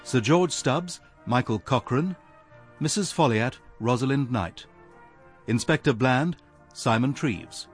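A male radio announcer with an English accent reads scripted credits. His delivery is formal and measured at a middle pitch, with soft music behind him. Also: animated. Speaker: continuity announcer.

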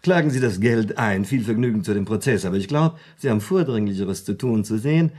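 Shrewd, animated husky man. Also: on the low side, brisk. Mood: conspiratorial, animated. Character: shrewd, superior man spinning a crime story. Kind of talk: German radio play dialogue.

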